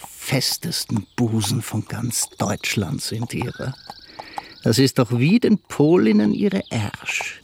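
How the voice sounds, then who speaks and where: Cocky scheming young servant in a radio play, wheedling and crude, a bit of noise behind. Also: male voice, mid-range voice, creaky, a little mellow. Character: brash, pushy young manservant, crude and scheming.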